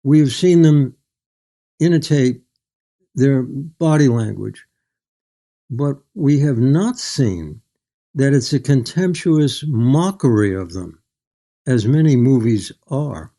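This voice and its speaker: Mellow-voiced older man, measured and thoughtful. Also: mid-range voice, animated. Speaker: guest author. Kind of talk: reflective literary interview.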